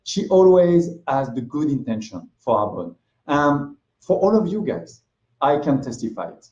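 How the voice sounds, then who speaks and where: A man's voice: fairly high pitch, big pitch swings, moderate pace. A man formally introducing a keynote speaker, full of praise. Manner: warm and praising. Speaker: event presenter. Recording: echoey and dull.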